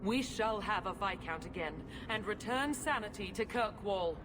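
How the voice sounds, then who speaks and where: A woman's voice: mid-range voice, moderate pace, noisy background, close to an everyday voice. An actress playing a resolute noblewoman in a video game, formal and commanding. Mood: determined. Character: resolute noblewoman leading a resistance. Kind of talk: video game character acting.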